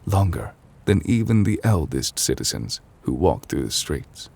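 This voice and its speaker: A man playing an ancient, weary chief judge in an intimate ASMR roleplay, formal and gentle, speaking in measured, hesitant phrases. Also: sing-song, full character voice. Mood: sombre, weary. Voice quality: husky, breathy low voice. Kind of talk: intimate ASMR audio roleplay, slow dramatic monologue.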